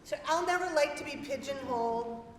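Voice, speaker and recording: A woman hosting a memorial lecture, introducing speakers in a gentle, slightly hesitant way. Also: high-pitched, brisk. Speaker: event host. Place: lecture hall. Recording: echoey hall from far off with noise.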